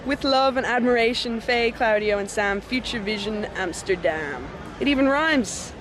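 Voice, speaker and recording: A woman's voice: on the high side, animated, moderate pace, a crowd in the background, low-quality recording. A woman hosting a TV show, chatting casually and enthusiastically as an interviewer. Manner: effusive, enthusiastic.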